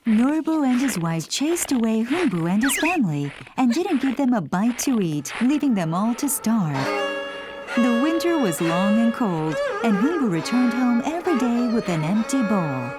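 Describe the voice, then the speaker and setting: A woman's voice: fairly high pitch, big pitch swings, normal speed. A woman narrating a scripted children's bedtime story, with music playing behind her. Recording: loud music under voice.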